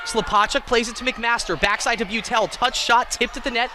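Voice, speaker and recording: A man calling live college volleyball play-by-play in an excitable, self-deprecating way. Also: extremely high pitch, fairly quick. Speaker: play-by-play sports commentator. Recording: clear gym noise, bouncing balls. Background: indoor volleyball match ambience.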